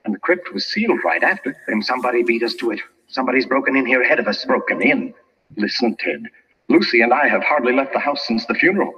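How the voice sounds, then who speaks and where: An actor playing an anxious, bewildered and defensive man in an old-time radio mystery, earnest and halting. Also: medium pitch, expressive, pushed and bright. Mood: shocked, puzzled. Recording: muffled, reverberant old radio recording.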